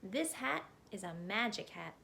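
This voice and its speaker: A woman voicing a patient, long-suffering shopkeeper man in a children's storybook, speaking in a measured way. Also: mid-range voice, sing-song, vocal fry, pushed and bright, slight background noise, heavily acted. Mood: relieved.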